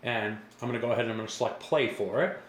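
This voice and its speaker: A man giving a casual, reassuring step-by-step software tutorial as a product demonstrator. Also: mid-range voice, racing. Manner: casual, repetitive, reassuring instructor. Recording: echoey room with faint background noise.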